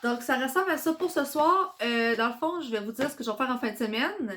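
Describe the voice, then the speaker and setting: A young woman with a Quebec French accent, chatting excitedly in a casual vlog while congested from a cold. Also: sits high, fast talker, slight background noise. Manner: chatty and excitable, many fillers. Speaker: vlogger.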